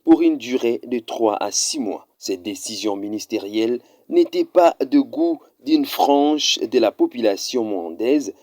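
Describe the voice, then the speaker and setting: Man, news voice-over, formal, measured, dry studio sound. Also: medium pitch, lively intonation, a little mellow, dry recording. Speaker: news narrator.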